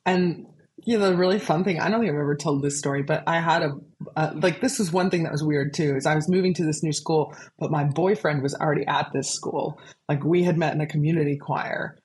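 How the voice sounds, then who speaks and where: Chatty low-voiced woman. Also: a little fast, darkish tone. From Arkansas, USA. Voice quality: low speaking voice. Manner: chatty, laughs often, many fillers. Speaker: guest composer. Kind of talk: casual conversational storytelling.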